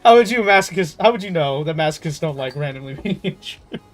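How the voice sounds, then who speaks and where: A man with a slightly high voice chats casually to viewers as he streams a game. He is jokey and animated, and game music plays faintly behind him. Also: quick pace. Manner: animated storytelling, jokey, swearing, laughs often. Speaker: game livestreamer. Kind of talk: casual chat with viewers while gaming.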